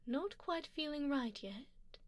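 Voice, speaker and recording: Woman as a high, slow-spoken, soft vampire duchess. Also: expressive, no room sound. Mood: gently commanding. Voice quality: soft, breathy whispered passages. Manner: genteel, measured, formal, gently commanding. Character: refined, doting, possessive female vampire duchess, playfully condescending. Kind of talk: ASMR roleplay, soft-spoken with whispering. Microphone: close binaural microphone, dry.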